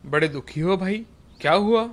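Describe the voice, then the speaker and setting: A man voicing an excitable, honest village farmer in an animated moral story, with melodramatic exclamations. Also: sits high, moderate pace. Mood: calm, friendly.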